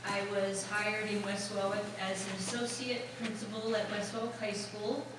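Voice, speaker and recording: A woman school superintendent introducing herself on a panel in a measured, plain way. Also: mid-range voice, flat delivery, background noise. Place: school hall. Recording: echoey room, distant mic.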